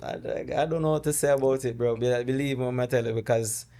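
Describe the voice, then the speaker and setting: A middle-aged man from Jamaica with a Jamaican accent, a podcast guest who recounts personal loss in a halting, word-searching way. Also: mid-range voice, steady tone, dark tone. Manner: halting, searching for words, with many restarts. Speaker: guest, dancehall artist. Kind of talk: conversational interview, storytelling.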